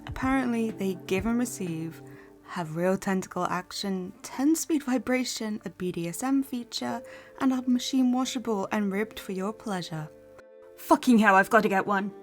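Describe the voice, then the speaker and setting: A young woman co-hosting a casual scripted recap commentary, playful and quick to swear. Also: mid-range voice, faint music behind. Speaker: co-host.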